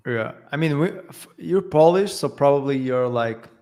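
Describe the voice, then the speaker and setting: A man's voice: medium pitch, lively intonation, normal speed, dark tone. Chatty laughing man from Portugal. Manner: laughs often, loose and chatty. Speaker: guest. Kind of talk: casual livestream chat.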